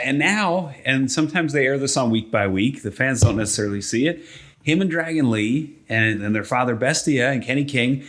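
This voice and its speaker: A man's voice: medium pitch, fairly bright tone. Enthusiastic man chatting on a podcast. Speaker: podcast co-host and wrestling announcer. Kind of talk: casual podcast chat about pro wrestling.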